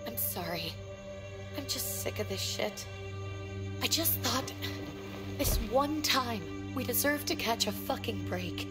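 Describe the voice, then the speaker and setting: A woman's voice: a little high, animated, slow pace, long pauses. An actress playing a weary, frightened woman survivor in a video game drama, blunt and emotionally raw. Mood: weary, frustrated. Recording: music behind the voice.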